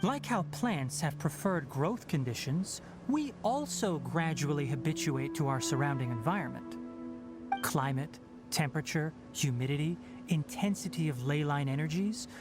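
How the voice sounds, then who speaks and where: A man's voice: a little high, expressive, moderate pace, close to an everyday voice. An actor voicing a young, fox-eared male forest ranger in a video game, who talks in a precise, explanatory way. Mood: explaining. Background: game music.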